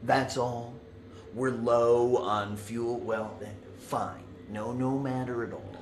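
An actor playing a menacing male leader in an audio drama, measured, theatrical and falsely reassuring. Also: medium pitch, fairly even intonation, slight background noise, lightly acted. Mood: dismissive. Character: smooth, menacing male leader, coolly condescending. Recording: roomy echo under music.

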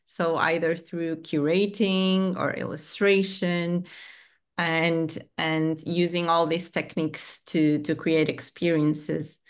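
Low, smooth-voiced woman from Portugal. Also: level tone, takes it slowly. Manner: careful, with restarts. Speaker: program director. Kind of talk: panel self-introduction. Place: home room. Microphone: video call webcam.

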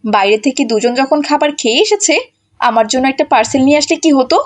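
Woman acting a brash, high-pitched cartoon wife. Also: fast, close to an everyday voice. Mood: whining. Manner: defiant, whining, dramatic. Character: brash, selfish, stubborn social-media-obsessed wife.

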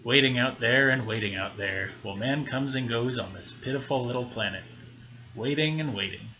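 A man's voice: mid-range voice, moderate pace, natural voice, poor audio. A man voicing a grave, wistful lighthouse keeper in a dramatic audio story, ruminative in manner.

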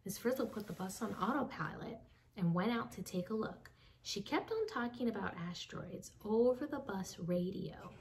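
Young lady doing an expressive kids read aloud, dramatic pauses, bit far from the mic w some faint noise. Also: mid-range voice. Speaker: children's book reader. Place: small home room with bookshelves. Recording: slightly distant mic, faint background noise.

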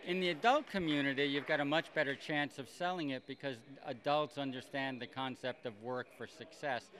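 A man gives a direct, emphatic answer in a documentary interview. His voice sits at a middle pitch. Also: fairly quick, fairly bright tone, slight background noise.

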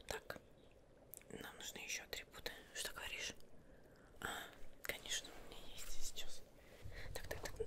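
A young woman whispers softly and breathily in an ASMR roleplay as a witch seamstress, murmuring reassuringly to her client close to the microphone. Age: young adult. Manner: soft, murmuring, reassuring, addresses the listener as a client. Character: witch seamstress fussing over fabrics for a client. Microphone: close microphone, facing camera.

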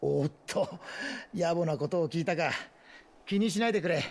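A man playing a friendly, grateful traveling merchant in a video game, with folksy, rough speech. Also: a little high, normal speed, very noisy, lightly acted. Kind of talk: dramatic video game voice acting.

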